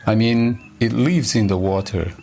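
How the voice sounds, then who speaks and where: A man's voice: on the low side, moderate pace, darkish tone. A man giving a translated voice-over of an interview.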